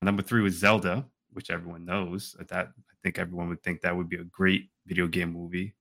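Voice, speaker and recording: Male podcast co-host, lowish voice with a crisp forward edge, relaxed conversational banter. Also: a little fast. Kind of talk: casual banter.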